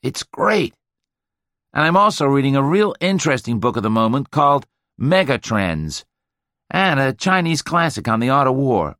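A man voicing a brash, boastful veteran, loud and amazed at himself. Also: mid-range voice, very animated, moderate pace, dry recording, clean studio sound, voice pushed up. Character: brash, boastful American male Vietnam veteran.

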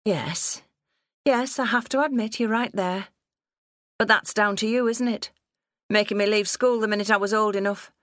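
A woman's voice: mid-range voice, moderate pace, fairly bright tone, no room sound, clean studio sound, voice pushed up, natural voice. A woman voicing a rebellious nineteen-year-old farm daughter in an audiobook, snappy and resentful. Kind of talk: audiobook character dialogue.

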